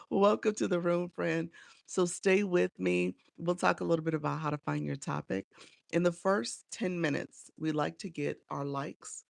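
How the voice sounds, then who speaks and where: A woman's voice: on the low side, fairly even intonation, a little slow, gravelly, no echo, studio quality. A woman coaching on a casual livestream, chatty and encouraging as she greets viewers by name, sounding a bit congested and nasal from a cold. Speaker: live streaming coach.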